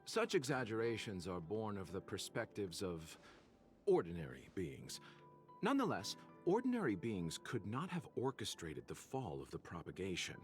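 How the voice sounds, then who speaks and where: An actor voicing a composed, mysterious male merchant in a video game, talking smoothly with soft amused laughs. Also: lowish voice, very animated, normal speed. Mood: knowing. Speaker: game character. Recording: game audio with faint music behind.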